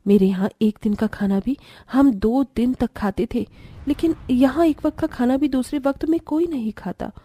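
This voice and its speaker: A woman playing a gentle, respectful, earnest young daughter-in-law from a poor family in a cartoon story. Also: sits high, normal speed, no echo. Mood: troubled.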